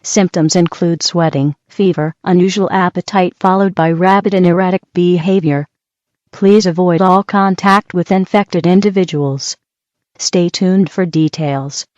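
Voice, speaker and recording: A woman voices an official emergency alert announcer. Her delivery is measured and impersonal, slightly low in pitch. The recording is dry and a little dull. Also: steady tone, a little mellow, no echo, lightly acted.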